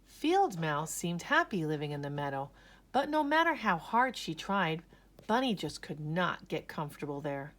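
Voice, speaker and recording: A woman narrating a children's story aloud with expressive, dramatic emphasis. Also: low voice. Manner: slow, animated, dramatic emphasis.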